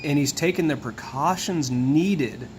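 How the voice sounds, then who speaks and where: Hoarse man explaining casually. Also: fairly high pitch, measured pace, slight background noise. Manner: relaxed, musing, walks through details. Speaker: tarot video host.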